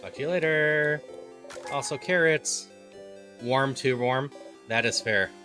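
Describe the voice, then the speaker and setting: A man's voice: medium pitch, slow pace, bright, forceful tone. A man who streams video games, chatting casually with his viewers. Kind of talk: casual livestream chat and game read-aloud. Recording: game music under the voice. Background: game music.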